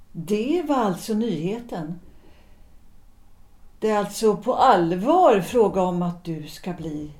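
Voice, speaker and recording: A woman playing a composed, guarded politician's wife in a period audio drama, measured and dryly ironic in her delivery. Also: medium pitch, darkish tone, natural voice. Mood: dryly calm. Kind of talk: period stage-play audio drama acting. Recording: echoey room.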